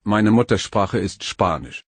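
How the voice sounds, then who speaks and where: Husky, low-voiced man reading phrases. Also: no room sound. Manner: slow, even, careful phrase-by-phrase reading. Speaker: phrase reader.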